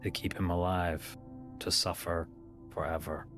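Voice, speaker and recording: A man's voice: low voice, level tone, a little slow, over music. A man playing in a tabletop game, talking in character with the other players. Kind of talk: in-character roleplay discussion.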